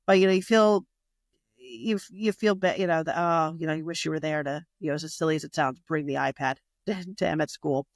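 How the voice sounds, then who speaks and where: A woman's voice: low-pitched, fairly even intonation, a little fast, dry recording, studio quality. Chatty woman guest, full of fillers. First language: not Italian. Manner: rambling, heavy on fillers and restarts. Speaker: magazine publisher and guest. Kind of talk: casual podcast interview.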